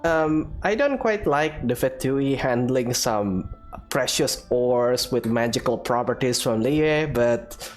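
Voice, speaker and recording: A woman's voice: rumbling low, expressive, slow pace, darkish tone, soft background music. A woman giving casual let's play commentary on a video game as she streams.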